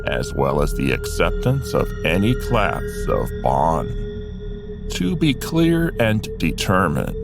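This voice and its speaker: A man narrating a scripted fantasy tale in the first person as an otherworldly being, explaining it deliberately and directly to the listener. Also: low voice, very animated, creaky. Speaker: storyteller. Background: music.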